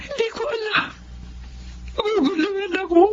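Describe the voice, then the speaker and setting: A woman's voice: very high voice, normal speed. A woman with a Filipino accent playing an apologetic, troubled mother in a Tagalog radio drama. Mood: tearful. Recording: dull radio drama with faint noise.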